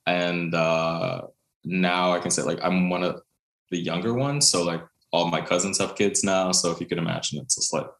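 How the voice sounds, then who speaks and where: Deep-voiced Brooklyn man in an echoey room. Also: normal speed, creaky, fairly bright tone. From Brooklyn, New York. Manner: chatty, many fillers, laughs often. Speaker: guest, contemporary dancer. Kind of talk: casual interview storytelling.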